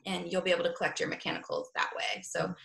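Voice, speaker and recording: Gravelly-voiced woman on a panel. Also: on the low side, wildly swooping pitch, fast, fairly bright tone, roomy sound, rough recording. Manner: hesitant, many fillers, explanatory. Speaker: business affairs manager, panelist. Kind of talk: casual panel discussion.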